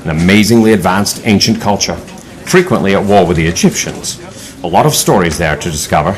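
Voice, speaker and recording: A man's voice: low voice, lively intonation, fairly quick. A man playing a genial, learned older leader of adventurers in an audio drama, speaking in an erudite manner. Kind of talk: audio drama acting and narration.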